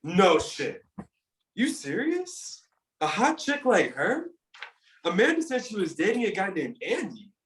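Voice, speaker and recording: A man reading a cocky young party guy who drawls in slang. His pitch is slightly high, lively and slow. The room echoes, and the voice sits a bit far from the mic. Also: full character voice. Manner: laid-back slang, bro talk. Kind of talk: table read. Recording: echoey, from a distance.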